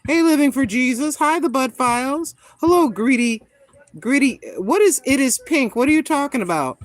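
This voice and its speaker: A woman in her sixties chatting casually with viewers on a live stream, greeting them by name and talking her thoughts through out loud. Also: high-pitched, lively intonation, moderate pace, dry recording. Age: 60s. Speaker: vlogger greeting live chat.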